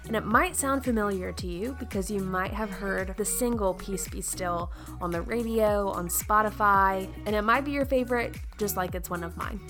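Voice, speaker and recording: A woman's voice: medium pitch, moderate pace, few pauses, music in the background. A woman hosting a podcast, upbeat and conversational, introducing a guest and chatting. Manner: upbeat, conversational, says "I'm like" often. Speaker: podcast host.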